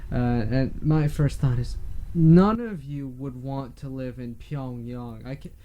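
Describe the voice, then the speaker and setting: A man in a casual political discussion as podcast co-host, wordy and often halting as he searches for words. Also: mid-range voice, lo-fi sound, slight background noise.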